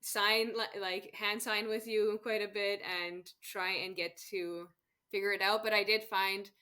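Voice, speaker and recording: A woman's voice: medium pitch, little pitch movement, a little slow, pushed and bright. A woman from Canada, a guest on an interview show, chatting casually about travel and laughing often. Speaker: guest, solo travel expert.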